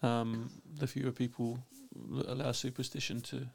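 A man's voice: mid-range voice, flat delivery, moderate pace, gravelly, a little mellow. A man with a London accent, a linguist guest giving blunt opinions with many restarts. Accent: London English. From England. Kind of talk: casual opinionated discussion.

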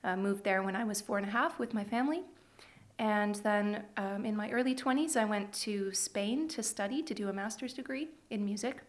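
A woman's voice: medium pitch, moderate pace. A woman in her thirties with a Canadian accent, chatting thoughtfully and a little hesitantly as a musician guest in a radio interview.

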